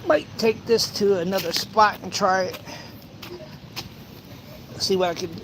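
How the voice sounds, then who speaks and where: A man's voice: sits high, unhurried. A man in his forties chatting casually as a fishing vlogger, rambling through anecdotes and acting out little exchanges. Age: 40s. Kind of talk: casual chat while fishing. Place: outdoors by a pond. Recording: some outdoor ambient noise.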